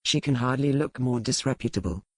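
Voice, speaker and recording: A man playing a proud, dangerous duelling earl in an audiobook romance, speaking in formal, measured period language. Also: medium pitch, dry recording, natural voice. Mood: proud, firm. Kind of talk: dramatised audiobook dialogue.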